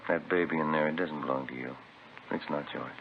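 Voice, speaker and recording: An actor playing a blunt, matter-of-fact police detective in a 1950s radio drama. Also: male voice, a bass voice, a little fast. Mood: blunt, accusing. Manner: plain, matter-of-fact questioning. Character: blunt, businesslike police detective questioning suspects. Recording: tinny old radio recording, faint noise.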